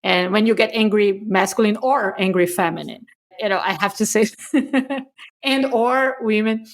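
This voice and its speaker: A woman from Brazil, a native Portuguese speaker, co-hosting a podcast in casual, animated conversation and laughing often. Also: mid-range voice, measured pace. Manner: animated, laughs often, self-mocking asides. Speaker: podcast co-host.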